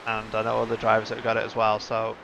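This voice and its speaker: A man's voice: lowish voice, completely flat delivery, fast talker, creaky. A man hosting a live sim racing stream with casual, conversational commentary, thinking aloud as he goes. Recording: muffled lo-fi audio with background noise.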